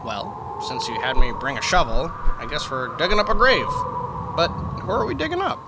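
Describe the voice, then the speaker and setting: A man playing a goofy, naive horror show sidekick in campy comedy, folksy and slow on the uptake. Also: on the high side, huge pitch swings. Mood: naive, puzzled. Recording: loud outdoor ambient noise.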